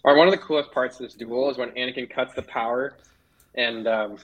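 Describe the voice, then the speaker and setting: Slightly husky man commenting casually. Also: medium pitch, steady tone, fast talker, dull audio. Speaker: podcast panelist.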